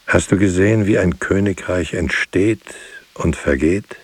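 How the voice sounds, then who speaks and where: Grieving, solitary writer for radio drama: low, husky, solemn and incantatory. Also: male voice, no room sound. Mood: solemn, mournful. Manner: solemn, measured, incantatory repetition. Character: solitary, grieving writer musing on words and loss. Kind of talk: poetic literary radio drama monologue.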